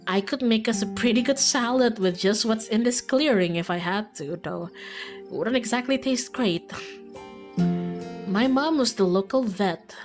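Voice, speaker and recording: A woman's voice: fairly high pitch, unhurried, music in the background, close to an everyday voice. A woman voicing a friendly, earnest, folksy young small-town monster hunter in a visual novel. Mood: fond, reminiscing. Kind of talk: visual novel character read aloud.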